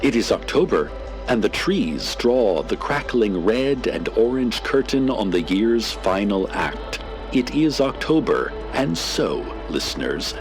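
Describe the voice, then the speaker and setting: Portentous radio host, deep, theatrical swings in pitch, deliberate, poetic, music behind. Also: male voice. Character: ominous, poetic small-town community radio host. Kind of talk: scripted dramatic radio narration.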